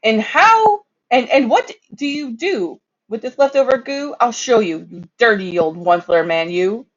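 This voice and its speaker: A reader voicing a small, scolding old tree-guarding creature from a storybook, croaky, indignant and emphatic. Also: medium pitch, lively intonation, a little slow, highs cut off, voice pushed up. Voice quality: coughing, croaky.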